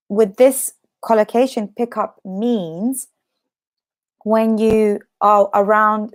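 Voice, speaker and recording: British woman teaching English, with a slight cough. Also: mid-range voice. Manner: chatty, explains slowly with repetition and many fillers. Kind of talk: live online English lesson.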